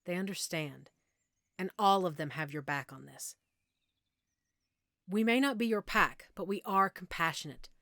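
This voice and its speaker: A woman playing a friendly werewolf pack member in an intimate audio roleplay, patiently explaining and gently reassuring the listener. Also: lowish voice, expressive, measured pace, long pauses, no room sound, clean studio sound, heavily acted. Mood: reassuring, compassionate.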